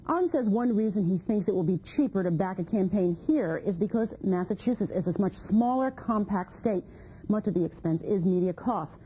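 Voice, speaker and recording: A female news reporter reads her scripted report. Her voice is mellow. A faint hiss sits under the recording. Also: mid-range voice, expressive, rough recording. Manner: brisk, pointed questioning.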